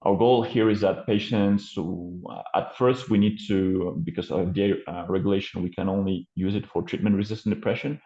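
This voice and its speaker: A man's voice: low-pitched, fairly even intonation, normal speed, hardly any pauses, mellow. A man with a French accent presenting his company's work on a video call, hesitant, with many fillers and restarts. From France. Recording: muffled roomy call audio.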